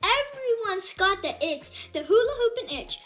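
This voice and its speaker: A woman reading a children's picture book aloud in an expressive, animated way with dramatic pauses and emphasis. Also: very high-pitched, faint music behind. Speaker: read-aloud storyteller.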